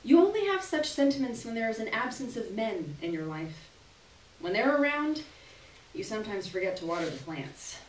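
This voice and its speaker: A young woman gives an expressive, theatrical reading of a literary short story at a live event, with deliberate phrasing and dramatic pauses. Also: on the high side, a little hiss or hum. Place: small indoor gallery reading event. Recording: roomy live venue, distant camera microphone.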